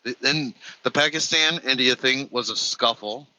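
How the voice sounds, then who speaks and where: A man in his late thirties co-hosting a casual chat, laid-back and unhurried. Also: medium pitch, bright, forceful tone, poor audio. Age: 30s. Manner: slow, laid-back, says "bro". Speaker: co-host.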